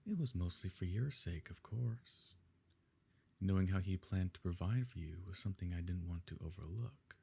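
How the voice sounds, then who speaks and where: A man playing a smug, wealthy evil nobleman in an intimate ASMR roleplay, suave and condescending, with a gravelly, husky voice. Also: low-pitched, normal speed, mellow, full character voice. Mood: sly, self-satisfied. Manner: suave, condescending, leisurely formal phrasing.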